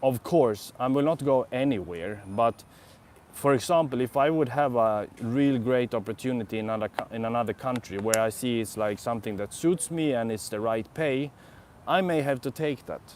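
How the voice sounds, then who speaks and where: A man's voice: mid-range voice, moderate pace, a little mellow, slight background noise. Swedish man rambling in a park. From Sweden. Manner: rambling, reflective, answers chat comments. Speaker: vlogger. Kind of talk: casual live-stream chat. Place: outdoor city park.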